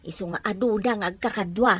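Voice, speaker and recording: A woman acting a practical middle-aged mother in an Ilocano audio drama, husky, with a Filipino Ilocano accent. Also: medium pitch, quick pace, close to an everyday voice. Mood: informative. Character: practical mother of a grown daughter, urging plans forward.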